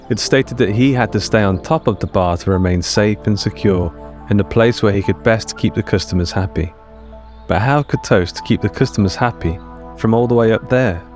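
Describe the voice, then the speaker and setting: A man narrating scripted audio fiction in a measured, deliberate storytelling manner with short dramatic phrases. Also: fairly low pitch, darkish tone. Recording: music under the narration.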